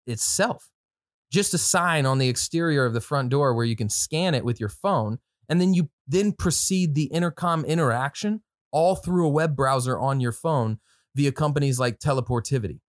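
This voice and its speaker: A man's voice: mid-range voice, dry recording. Casual male podcast host, dry close sound. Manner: informal, slangy, conversational asides. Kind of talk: casual product commentary, part reading from notes.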